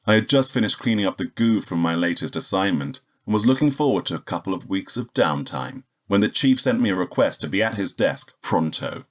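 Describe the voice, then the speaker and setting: A deep-voiced male secret agent of the spaceways, cocky and world-weary. He speaks with deadpan, sardonic wit, like the lone hero of a noir-tinged sci-fi radio drama. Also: a little fast, hardly any pauses. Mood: wry, self-assured. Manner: wry, deadpan. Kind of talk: noir-style narration and dialogue in sci-fi audio drama.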